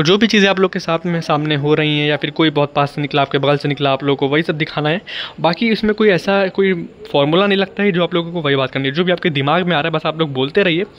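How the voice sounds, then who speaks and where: A man's voice: on the high side, fairly bright tone. A young man with an Indian accent giving a casual vlog tutorial, explaining informally and repetitively with English words mixed in. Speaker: motovlogging YouTuber giving beginner tips. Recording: dull, lo-fi sound.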